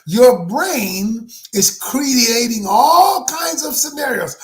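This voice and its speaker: A man in his sixties, a life coach giving a motivational talk to viewers. He is emphatic and preachy, calls his listeners "y'all," and swings from hushed to shouted. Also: very high-pitched, expressive, slow pace. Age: 60s.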